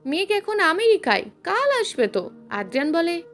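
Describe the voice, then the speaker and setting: Female narrator, high-pitched and very lively, reading romantic serial fiction over music. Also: moderate pace. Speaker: story narrator.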